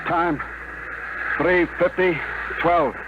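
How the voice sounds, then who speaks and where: An actor playing a sober, exacting general aboard a rocket, formal and military, in 1950s radio drama style on a muffled, very noisy recording. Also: male voice, on the high side, steady tone, extremely slow. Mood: tense, official.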